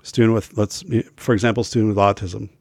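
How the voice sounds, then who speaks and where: A man's voice: fairly low pitch, little pitch movement, fairly quick, vocal fry, dry recording, studio quality. A middle-aged man hosting a school safety talk show, losing his voice and feeling unwell, speaking emphatically with many fillers and restarts. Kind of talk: casual talk to camera.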